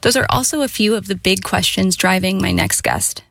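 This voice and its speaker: A woman radio correspondent reads her scripted narration clearly and evenly. Her voice has a slight gravelly edge, and faint music sits under her. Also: medium pitch, huge pitch swings, moderate pace, a bit bright, dry recording.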